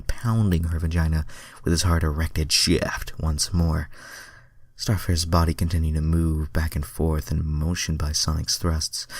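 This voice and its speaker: Very deep-voiced man reading fan fiction. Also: no room sound, clean studio sound. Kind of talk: dramatic reading with casual asides.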